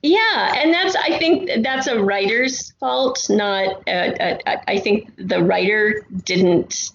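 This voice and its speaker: Lady on a podcast explaining stuff, pauses a lot, echoey room, slightly muffled. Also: mid-range voice, creaky. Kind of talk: casual discussion and storytelling. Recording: roomy, dull sound with faint noise.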